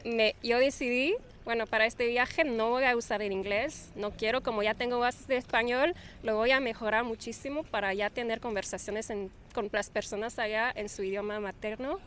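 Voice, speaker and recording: Lady, kinda high voice, laid-back interview chat w lots of ums, faint room noise. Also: normal speed, few pauses. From Quebec, Canada. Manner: relaxed, laughs often, hesitant fillers. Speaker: guest. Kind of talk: casual interview conversation.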